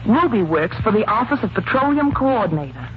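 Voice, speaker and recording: A woman's voice: mid-range voice, sing-song, a little fast, close to an everyday voice. An American woman acting a plucky, earnest young wife in a 1940s radio drama, on a dull, noisy recording. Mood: earnest, reporting. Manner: earnest, resolute. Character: plucky, enterprising young wife, amateur sleuth.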